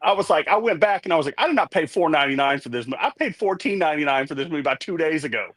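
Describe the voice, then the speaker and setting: A man's voice: fairly high pitch, expressive, racing, fairly bright tone, no echo. Casting: fifties male guest, rambling and chatty, dry close sound. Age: 50s. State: getting over a head cold. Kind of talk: casual chat.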